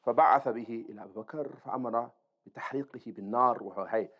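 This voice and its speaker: Fast-talking male religious teacher, dull sound. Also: mid-range voice. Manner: explanatory, checks in with listeners using short tags. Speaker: religious teacher reading and explaining a text. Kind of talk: informal Islamic lesson, reading aloud and explaining.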